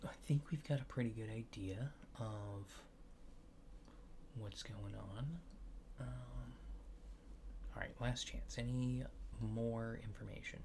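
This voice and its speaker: A man doing a soft-spoken, partly whispered ASMR roleplay as a calm, casual alien examiner, reassuring and hesitant in an unhurried way. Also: medium pitch, a little hiss or hum.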